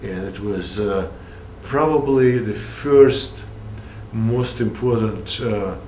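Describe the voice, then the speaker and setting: A man in his fifties with a Russian accent, speaking haltingly with long pauses in a documentary interview. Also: fairly low pitch, a little mellow. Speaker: puppeteer interviewee. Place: indoor puppet theater, seated interview. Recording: roomy echo with faint noise.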